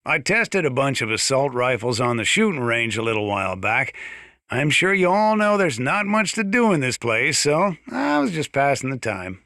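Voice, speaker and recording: A man's voice: medium pitch, sing-song, normal speed, pushed and bright, dry recording, studio quality. A man playing a folksy, gun-loving small-town sheriff in a video game, chatting boastfully in a conversational radio-style monologue. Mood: chatty, matter-of-fact.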